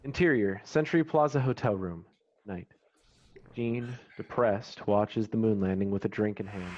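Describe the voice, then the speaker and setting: A man reads screenplay stage directions as a scripted narrator. His voice sits fairly low, and the recording is a little dull and lo-fi, like an online call. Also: normal speed, darkish tone.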